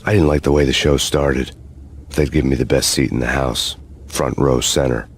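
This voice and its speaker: A deep, brooding noir cop. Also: male voice, moderate pace, slightly rough. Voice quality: deep, flat, world-weary. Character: grim hard-boiled male cop, middle-aged, haunted. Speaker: detective narrator. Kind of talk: noir voice-over narration.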